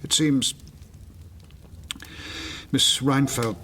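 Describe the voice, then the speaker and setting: A man playing a middle-aged, studious gentleman father in a gothic audio drama, speaking formally and with restraint. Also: mid-range voice, fairly even intonation, very slow, a little hiss or hum. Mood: grave. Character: grave, reserved, studious gentleman father of the household. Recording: audio drama with clear background ambience.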